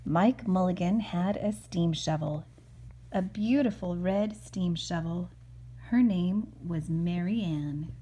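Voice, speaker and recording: A woman's voice: lowish voice, slow, dark tone. A woman reading a picture book aloud to her young child, with casual asides as she goes.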